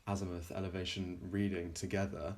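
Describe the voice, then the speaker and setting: A man with a low voice narrates an informal technical demo as the developer. He is hesitant, often pausing halfway through a sentence before carrying on with the explanation. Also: flat delivery. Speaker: plugin developer presenting a demo.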